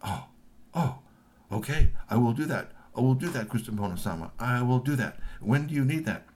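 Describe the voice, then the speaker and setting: A man's voice: medium pitch, lively intonation, moderate pace, darkish tone, a little hiss or hum, voice pushed up, close to an everyday voice. A man doing a fawning, flustered ninja chief. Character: fawning male ninja chief, eager to please.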